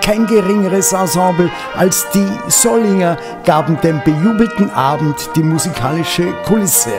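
High-pitched Austrian male news narrator. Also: moderate pace. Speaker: reporter and narrator. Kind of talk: scripted news narration and short interview questions. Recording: music and crowd noise behind.

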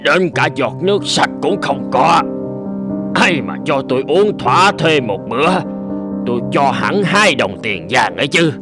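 A man playing a parched, miserable tavern drinker in a cartoon, grumbling in a drawn-out way. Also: medium pitch, animated. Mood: weary, grumbling. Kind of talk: cartoon dub acting. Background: music.

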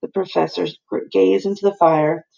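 Husky woman reading a story aloud. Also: lowish voice, fairly even intonation, warm tone, dull audio. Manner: plain, unhurried reading with small slips she corrects and asides to the kids. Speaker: teacher reading aloud to her class. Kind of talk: read-aloud storybook narration.

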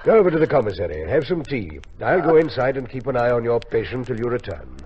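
A man's voice: medium pitch, sing-song, fast, breathy edge. An actor playing a blustering, fatherly army colonel in a 1950s radio drama, with a stammering manner. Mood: soothing. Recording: muffled, noisy 1950s radio recording.

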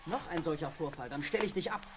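High-voiced grumbling space pilot. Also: male voice, expressive, quick pace. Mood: exasperated. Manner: exasperated, blunt. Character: irritable, grumbling male space pilot bickering with his robot. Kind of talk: vintage German sci-fi radio play acting. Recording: noisy old radio play with ambience.

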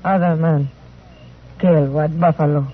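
An actor playing a beaten, fearful but stubborn wife in a radio western, speaking terse, halting broken English. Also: male voice, on the high side, steady tone, slightly rough. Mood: reluctant, sad. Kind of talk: old-time radio western acting. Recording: tinny noisy vintage radio recording.

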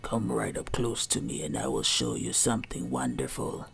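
A woman voicing a very small, mysterious old man from a storybook, coaxing in a low, creaky, whispery voice. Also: animated, normal speed, soft background music, exaggerated voice. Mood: creepy, coaxing. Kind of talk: storybook character voice.

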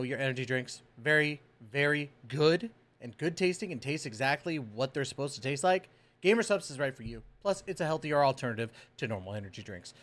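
Animated, higher-pitched man reacting. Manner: animated, exclamatory, slangy. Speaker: reaction video host, streamer. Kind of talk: excited casual reaction commentary. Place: small indoor home room with shelves. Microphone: close microphone on boom arm.

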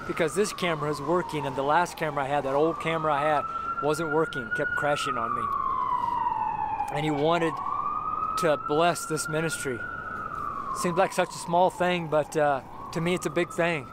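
A man's voice: a little high, wildly swooping pitch, normal speed. A middle-aged man preaching an informal sermon to the camera on a city sidewalk, rambling and repeating himself with many fillers and restarts. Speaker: street preacher. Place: outdoors on a city sidewalk by a brick wall. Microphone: camera close up, handheld. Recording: noisy outdoor street recording. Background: street traffic noise.

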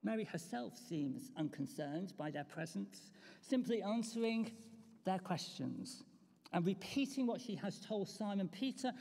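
A slow, unhurried preacher delivers an Easter sermon, pausing to ask the congregation rhetorical questions. The voice is dark and mellow, with a gravelly edge. A long church reverb trails after each phrase. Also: medium pitch, animated.

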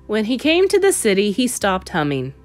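A woman's voice: medium pitch, wildly swooping pitch, normal speed, darkish tone. Woman narrating a children's storybook, music behind. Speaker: teacher reading aloud.

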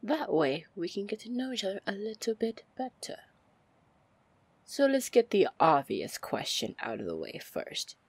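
A woman playing a seductive, commanding female pirate lord in an ASMR fantasy roleplay, with a teasing manner and breathy near-whispers. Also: medium pitch, sing-song, normal speed, no echo. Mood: probing, sly. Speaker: roleplay audio performer.

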